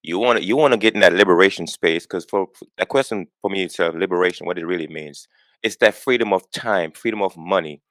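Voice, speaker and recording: Man, 40s, from Jamaica, very deep voice, quick delivery, earnest, repeats words, podcast guest. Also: a bit bright, no room sound. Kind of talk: conversational interview.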